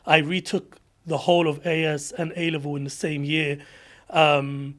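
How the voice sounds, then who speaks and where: Reflective man, slightly higher voice. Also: little pitch movement, normal speed, a bit bright. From London, England. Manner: reflective, with frequent restarts and fillers. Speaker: podcast guest. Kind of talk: casual interview chat.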